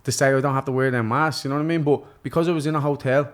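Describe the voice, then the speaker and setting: Young irish man, guest on casual podcast; rapid, lively, slangy delivery. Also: medium pitch. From Ireland. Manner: animated storyteller, laughs often, slangy. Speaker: podcast guest, professional boxer. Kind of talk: casual chat.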